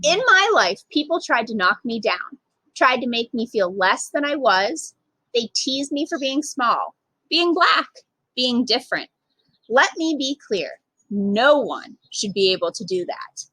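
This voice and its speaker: Animated, high-pitched woman reading a storybook. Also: breathy edge, no room sound, rough recording. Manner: emphatic, with dramatic pauses and acted dialogue. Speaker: storytime reader, literacy program manager. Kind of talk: expressive picture-book read-aloud for children.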